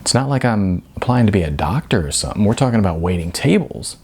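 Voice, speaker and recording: A man's voice: fairly low pitch, big pitch swings, fast talker, a little mellow, breathy edge, exaggerated voice. A man voicing a naive, puzzled young aspiring actor in an audiobook, hesitant and questioning.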